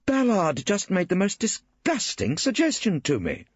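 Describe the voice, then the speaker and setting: A man's voice: sits high, wildly swooping pitch, measured pace, breathy edge, dry recording, highs cut off, pitched above the performer's own voice, exaggerated voice. A man voicing a female barrister, cool and sarcastic, with clipped delivery. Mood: indignant. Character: crisp, icy female barrister.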